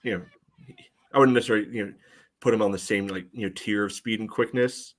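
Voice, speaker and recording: A man's voice: on the low side, fairly even intonation, quick pace. A man co-hosting a podcast and analysing soccer in casual chat, in hesitant, choppy phrases peppered with "you know".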